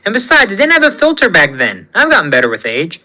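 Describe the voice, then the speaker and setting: A man voicing a feisty young heroine with a sharp, taunting tongue. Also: high voice, huge pitch swings, quick pace, exaggerated voice. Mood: sassy. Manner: taunting, sassy. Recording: music playing underneath.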